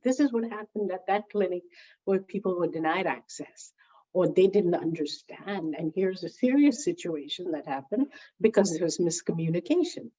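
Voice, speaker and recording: Woman interviewee, lower voice, mellow tone, lively storyteller, slightly dull recording. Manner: animated storyteller, repeats words while gathering thoughts. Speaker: interviewee, founding member recalling early days.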